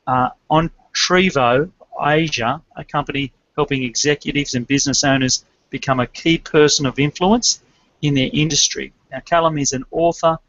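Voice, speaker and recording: A man's voice: a little high, fairly bright tone, no echo. A man from Australia hosting a business podcast, giving upbeat, rehearsed introductions and questions. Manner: upbeat, scripted-sounding introductions. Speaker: podcast host and business coach. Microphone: remote call, dull lo-fi and dry. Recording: dull dry online call audio.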